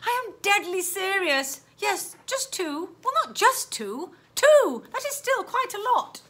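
A woman's voice: very high-pitched, big pitch swings, takes it slowly, pushed and bright, voice pushed up, heavily acted. A woman voicing an anxious, polite young girl in a children's storybook, speaking in a hesitant, earnest, pleading way. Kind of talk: children's storybook read-aloud.

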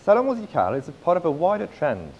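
A man in his thirties presenting a business pitch from a script on stage, with occasional slips and restarts. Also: wildly swooping pitch, fast, lo-fi sound, slight background noise. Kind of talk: read-aloud business pitch. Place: large theatre stage.

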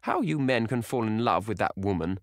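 A man voicing an excitable elderly society hostess who gushes and scolds in high exclamations. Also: brisk, no room sound, studio quality. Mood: scolding. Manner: gushing, scolding, shrill exclamations.

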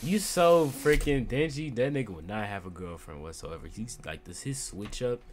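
A man's voice: lowish voice, lively intonation, moderate pace, darkish tone. A young man giving casual, slangy reaction commentary, often repeating himself as he mocks what he watches. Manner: slangy, repetitive, mocking. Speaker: reaction streamer. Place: small room at home. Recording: anime soundtrack playing behind.

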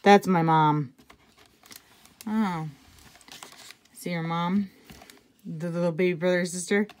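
A woman reading a picture book aloud to children, conversationally, pausing for casual asides and check-in questions. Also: fairly low pitch, fairly even intonation, a little mellow. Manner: conversational, pointing things out and asking check-in questions. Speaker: intervention specialist reading to children.

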